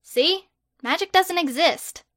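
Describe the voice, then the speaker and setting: A woman's voice: very high-pitched, slow pace, a bit bright, no room sound, pitched above the performer's own voice, heavily acted. A woman voicing a sarcastic, exasperated schoolgirl who gives dry, incredulous retorts.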